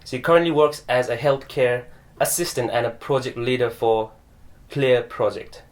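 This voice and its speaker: A man's voice: mid-range voice, fairly even intonation, moderate pace. A man hosting a podcast, reading a formal introduction and then chatting with his guest, with Nepali phrases mixed in. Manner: friendly, mixes in Nepali phrases. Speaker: podcast host. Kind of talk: scripted intro then casual interview.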